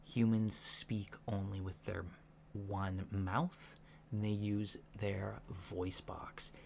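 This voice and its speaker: A soft-spoken man in an ASMR roleplay, playing a calm alien instructor with a halting, deliberate, matter-of-fact delivery, in a lo-fi recording. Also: on the low side, vocal fry. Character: calm alien instructor briefing disguised recruits on humans. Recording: lo-fi recording with faint background noise.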